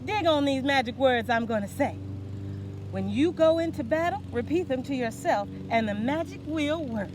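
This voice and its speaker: Woman putting on a sassy, high-swooping fairy. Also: lively intonation, normal speed, noisy background. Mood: confident, hip. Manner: slangy, sassy, soothing pet names. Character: sweet, sassy female fairy with hip streetwise slang.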